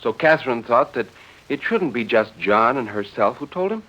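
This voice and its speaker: A man voicing a poised, genteel suburban wife who coaxes softly and hesitantly, in 1940s radio drama style. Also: medium pitch, lively intonation, normal speed. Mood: genteel, coaxing. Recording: dull vintage 1940s radio recording.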